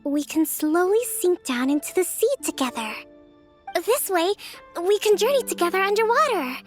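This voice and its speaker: A woman voicing a gentle, introverted young priestess and strategist in a video game, soft-spoken, formal and thoughtful. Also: extremely high pitch, lively intonation, moderate pace, soft background music. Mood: cheerful, reassuring. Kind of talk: video game character voice acting.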